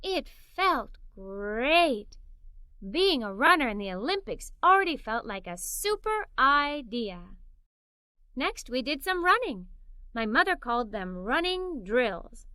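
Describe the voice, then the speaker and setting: A woman narrating a children's story in an expressive, animated read-aloud style. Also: high voice, takes it slowly, smooth, dry recording, clean studio sound. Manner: expressive, performs the characters' dialogue.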